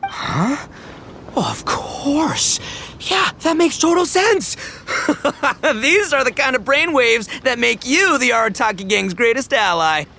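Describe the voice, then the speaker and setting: A brash young male gang leader from an anime game, full of swagger and big-hearted bravado. His delivery is slangy and dramatic, leaping between highs and lows. A faint rumble sits behind the voice. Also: sing-song, slow pace, bright, forceful tone, exaggerated voice. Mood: enthusiastic.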